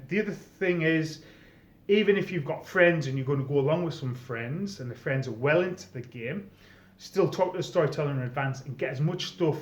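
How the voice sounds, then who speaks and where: A man's voice: medium pitch, slight background noise. Man in an echoey room. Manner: conversational, explanatory. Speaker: presenter, former game storyteller. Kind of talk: informal advice video monologue. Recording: echoey room, slightly dull sound.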